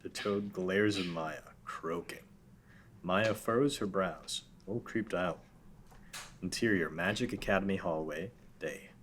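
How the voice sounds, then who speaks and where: A man's voice: deep voice, unhurried, a bit bright, slight background noise. A man reading the stage directions aloud in a casual table read.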